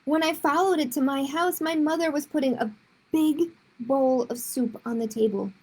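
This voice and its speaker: A woman's voice: sits high, normal speed, a little hiss or hum. A woman reading a children's story aloud expressively, with short explanatory asides to young listeners.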